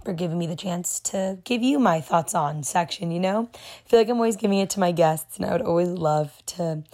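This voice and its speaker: A young woman hosting her own podcast, talking casually and affectionately to listeners while her voice is hoarse and nearly gone. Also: fairly low pitch, brisk, warm tone. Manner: chatty, affectionate, rambling. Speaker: podcast host. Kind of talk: casual solo podcast talk.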